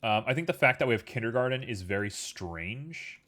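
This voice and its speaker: A man chatting casually with his stream audience while he works at a computer. He talks in a relaxed, rambling way full of fillers and sounds a little sniffly. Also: medium pitch, moderate pace. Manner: easygoing, rambling, filler-heavy. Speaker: livestreamer. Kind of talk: casual chat while working on a 3D model.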